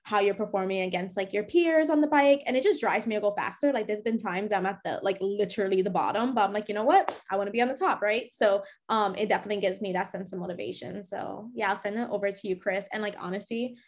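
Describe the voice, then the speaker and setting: Young American female podcast co-host, medium pitch, informal chat and friendly recommendations to listeners. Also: quick pace, hardly any pauses. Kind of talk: casual chat, personal recommendations.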